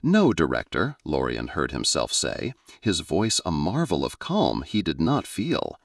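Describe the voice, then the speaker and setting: A man narrating a scripted science-fiction story in a measured, dramatic storyteller's way. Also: extremely low pitch, sing-song, creaky, no room sound, clean studio sound.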